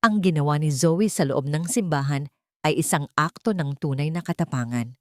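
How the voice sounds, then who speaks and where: A woman's voice: low-pitched, moderate pace, darkish tone, dry recording, clean studio sound. A woman reading a scripted, dramatic romance story as its narrator.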